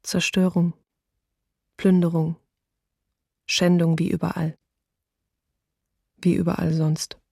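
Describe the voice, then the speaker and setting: A woman's voice: lowish voice, flat delivery, takes it slowly, vocal fry, pauses often, darkish tone, breathy edge, dry recording, clean studio sound, heavily acted. A woman playing a grave, restrained diarist who bears witness to occupation in a documentary radio play.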